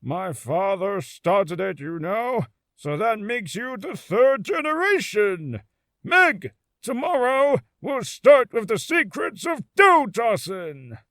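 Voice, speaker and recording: A man voicing a proud, sentimental old shopkeeper father from a video game, homely and unhurried. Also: sits high, big pitch swings, fairly bright tone, dry recording, clean studio sound, exaggerated voice. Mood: proud, fond. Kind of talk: video game character voice acting.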